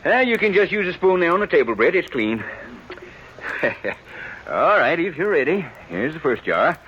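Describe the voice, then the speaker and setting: An actor playing a fussy, hesitant small-town fair official in a 1950s radio western. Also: male voice, on the high side, big pitch swings, moderate pace. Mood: flustered announcing. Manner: hesitant, fumbling, nervously chuckling. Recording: muffled, noisy 1950s radio broadcast.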